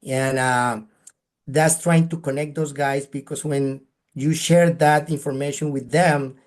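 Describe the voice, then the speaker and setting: A man's voice: medium pitch, steady tone, measured pace. A man from Colombia with a Colombian Spanish accent, presenting practical advice in a webinar in an earnest manner, often ending points with a questioning tag. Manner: earnest, advice-giving, frequent "right?" tags. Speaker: guest speaker.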